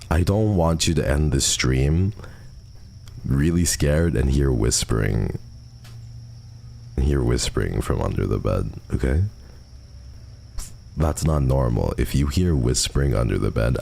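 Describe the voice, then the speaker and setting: A man's voice: a bass voice, vocal fry, darkish tone. A man hosting a livestream, chatting casually and answering viewer messages at a close microphone. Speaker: livestream host. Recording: faint background noise.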